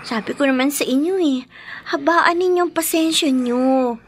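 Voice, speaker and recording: An actress playing a dutiful, level-headed Filipino fifteen-year-old daughter in a radio drama, earnest and gently admonishing. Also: female voice, high-pitched, moderate pace, close to an everyday voice. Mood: earnest, concerned.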